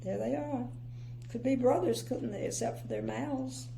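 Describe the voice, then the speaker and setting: A woman's voice: a little high, moderate pace, creaky, a little hiss or hum. An older woman, a grandmother, reading a children's storybook expressively and adding chatty, opinionated asides. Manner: chatty, gently opinionated commentary. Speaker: grandmother reading bedtime stories.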